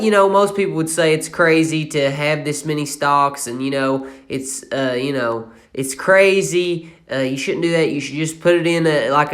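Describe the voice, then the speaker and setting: A man's voice: on the high side, a bit bright. Young Appalachian man rambling about his investments. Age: 20s. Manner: rambling and casual, many fillers and self-corrections. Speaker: channel host. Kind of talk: casual screen-recorded finance vlog.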